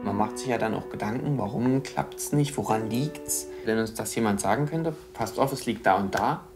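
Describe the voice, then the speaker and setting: A 27-year-old man speaking calmly and agreeably in a casual documentary chat. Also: on the low side, music in the background. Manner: calm, measured and agreeable. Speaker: reality documentary participant.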